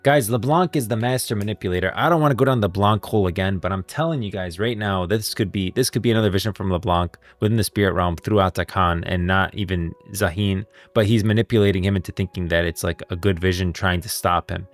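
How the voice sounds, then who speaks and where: A man's voice: low voice, quick pace, hardly any pauses. A man hosting a livestream with casual lore commentary, rambling emphatically and repeating his points. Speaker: livestream host. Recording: music playing behind. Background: background music.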